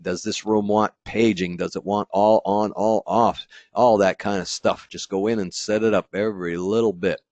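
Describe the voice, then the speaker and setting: A man's voice: lowish voice, fairly even intonation, normal speed, hardly any pauses, darkish tone, no echo. A man giving a casual dealer training webinar, talking loosely with many fillers and restarts and sometimes acting out an installer's thoughts. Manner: acts out an installer's inner thoughts. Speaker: company product trainer. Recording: dry, slightly dull webinar audio.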